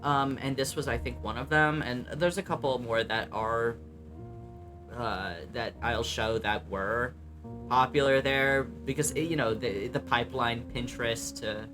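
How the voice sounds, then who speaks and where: A man's voice: unhurried, music in the background. Chatty young man with a light voice. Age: young adult. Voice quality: light voice with some bass. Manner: chatty, blunt and self-mocking, swears freely, many ums. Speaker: vlogger reacting to his old videos. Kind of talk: casual reaction commentary.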